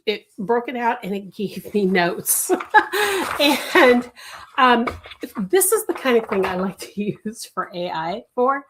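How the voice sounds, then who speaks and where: A woman's voice: on the high side, a little hiss or hum. A middle-aged woman, Italian as her first language, hosting a casual desk-tour vlog, rambling with many fillers and self-corrections and often laughing lightly mid-sentence. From United States. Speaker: crochet designer and channel host. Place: home workspace.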